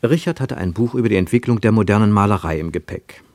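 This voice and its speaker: A man reading scripted literary narration for a radio play in a measured, precise and expressive storytelling manner. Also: fairly low pitch, no echo.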